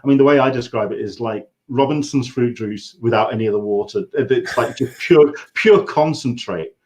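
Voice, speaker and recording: Male host, English accent, mid-range voice, casual conversational interviewing with a halting, think-aloud delivery. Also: medium pitch, a little mellow. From England.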